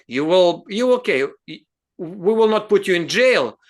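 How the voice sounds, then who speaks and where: A man with a somewhat high-pitched voice and a Russian accent explains things in English, his second language. He speaks earnestly and haltingly, breaking off into restarts and fillers before pressing his point with emphasis. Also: lively intonation. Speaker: host. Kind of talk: explanatory video talk.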